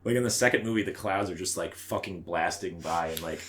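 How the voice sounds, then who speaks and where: A man's voice: low voice, fairly quick. A man co-hosting a podcast in casual chat, blunt and prone to swearing. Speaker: podcast co-host. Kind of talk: casual chat about movies.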